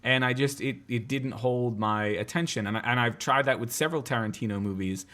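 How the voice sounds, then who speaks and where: Bright, hesitant man chatting. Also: mid-range voice, a little fast. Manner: hesitant, many ums and repeated starts. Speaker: podcast co-host. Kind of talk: casual chat.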